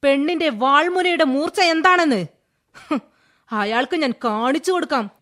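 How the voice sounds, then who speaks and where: An actress playing a proud, defiant young warrior heroine in a fierce, declamatory radio drama style. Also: female voice, sits high, normal speed, a bit bright. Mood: fierce defiance. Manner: fierce, theatrical.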